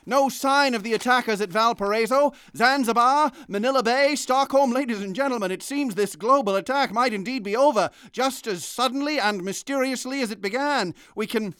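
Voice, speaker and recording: A man's voice: very high-pitched, fairly bright tone, smooth. A man playing a grave, formal news anchorman in a vintage-style radio drama. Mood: urgent, grave. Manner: grave, formal, deliberate announcing. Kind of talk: vintage radio newscast acting.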